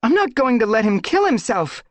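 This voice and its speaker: A man's voice: very high voice, very animated, moderate pace, no room sound, pitched above the performer's own voice. A man voicing a fierce young female apprentice cat who shrieks desperately. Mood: shrieking.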